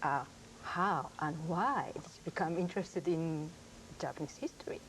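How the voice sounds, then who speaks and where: Lower-pitched woman hosting politely. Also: normal speed. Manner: polite, careful, laughs softly. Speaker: host. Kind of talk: radio interview. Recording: faint background noise.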